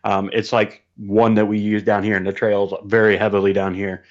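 A man's voice: on the low side, flat delivery, moderate pace. A man as a podcast guest, telling stories casually in a colloquial, vivid and emphatic way over muffled livestream audio. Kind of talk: casual storytelling chat.

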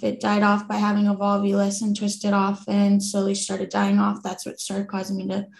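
Guest, woman in her 20s, from Croatia; even, matter-of-fact storyteller, recorded in an echoey room. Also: medium pitch, gravelly. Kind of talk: casual interview talk.

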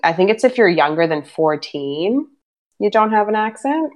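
A woman in her thirties with a light Brazilian Portuguese accent, talking casually as an interviewee, full of "like" fillers and quick to laugh. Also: mid-range voice, lively intonation, dull audio. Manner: chatty, many "like" fillers, laughs easily.

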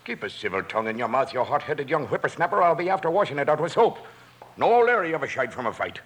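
An actor playing a feisty, fretful old gunsmith with an Irish brogue in a 1950s radio western. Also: male voice, a little high, sing-song, fast talker, a bit bright, breathy edge, heavily acted. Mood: scolding, indignant. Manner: scolding, pleading. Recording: faintly noisy old radio recording.

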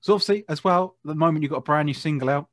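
A man's voice: fairly high pitch, very fast, no echo, studio quality. A British man hosting a casual music interview, chatting in an encouraging, affirming way. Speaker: interviewer, music show host.

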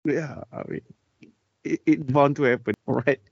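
A man's voice: mid-range voice, steady tone, a little slow, vocal fry, no room sound, highs cut off. A man co-hosting a podcast, laid-back, answering with short agreeing remarks. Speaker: podcast co-host. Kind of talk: casual chat.

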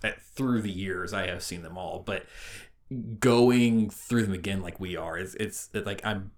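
A man's voice: deep voice, unhurried. A man in his forties co-hosting a podcast in a casual, chatty way. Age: 40s. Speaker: podcast co-host.

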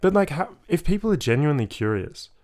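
A man's voice: fairly high pitch, sing-song, quick pace, darkish tone. A young man with an Australian accent, hosting a casual talk in an earnest, rambling way. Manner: earnest, rambling, heavy use of like. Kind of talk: casual conversation.

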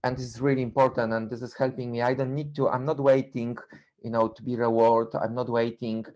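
A middle-aged man from Poland with a Polish accent, speaking reflectively and haltingly in an interview, repeating words as he goes. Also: mid-range voice, flat delivery, a little mellow, highs cut off. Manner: halting, repeats words, non-native phrasing. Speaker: interview guest, endurance runner and coach.

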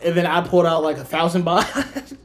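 A woman's voice: on the low side, steady tone, fairly quick. A young woman co-hosting a podcast, telling travel stories in casual chat and laughing often as she talks. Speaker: podcast co-host.

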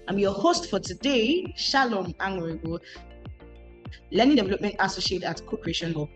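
A woman's voice: mid-range voice, lively intonation, normal speed, soft background music. Hesitant woman host, lots of ums. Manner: warm hesitant questions, many "um"s and repeated words. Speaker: podcast host. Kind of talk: hosting an interview podcast.

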